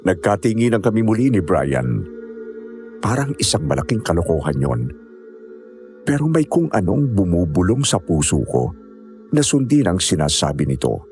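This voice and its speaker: A man narrates a scripted horror story in the first person. Soft music plays behind him. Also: deep voice, sing-song, moderate pace, long pauses.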